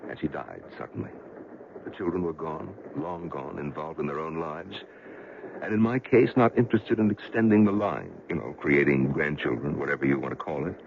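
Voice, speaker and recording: A man's voice: low voice, creaky, full character voice. A man acting an earnest, bitter widowed businessman in a radio drama, speaking plainly with hesitant, emphatic phrasing. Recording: muffled, noisy old radio drama recording.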